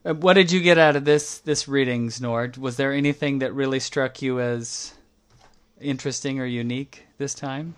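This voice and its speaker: A man's voice: medium pitch, steady tone, moderate pace, clear, resonant tone. A man hosting a podcast book club, putting questions to the members and reading a closing outro. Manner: guiding, asks members questions. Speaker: podcast host. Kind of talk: casual book club chat and scripted outro.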